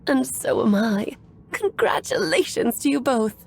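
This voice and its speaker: A cheerful, whimsical witch in a video game. Her voice is high and very animated, with a fond, chatty, theatrical manner. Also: a little slow, gravelly, a little hiss or hum, full character voice. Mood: light amusement. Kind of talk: scripted video game voice acting.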